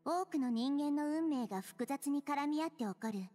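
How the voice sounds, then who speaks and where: A wise little girl goddess in an anime game. She speaks gently and thoughtfully in a high voice, with music clearly behind her. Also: expressive. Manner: gentle, measured, instructive. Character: small wise childlike girl deity, calm and thoughtful.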